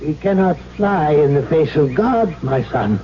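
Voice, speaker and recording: An actor playing a grave, sorrowful old alchemist, solemn and deliberate, in a 1970s radio drama. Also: male voice, on the high side, expressive. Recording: dull, hissy 1970s radio broadcast.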